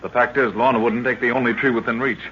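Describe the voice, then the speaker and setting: An actor playing an earnest young adventurer, a professor's assistant, in an old-time radio drama. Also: male voice, mid-range voice, fairly even intonation, fast, fairly bright tone, close to an everyday voice. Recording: dull, noisy vintage radio recording.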